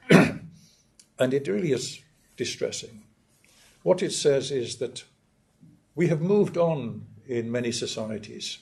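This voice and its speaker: An older man from northeast England, giving a measured, emphatic academic lecture and often clearing his throat. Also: mid-range voice, darkish tone. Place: lecture hall.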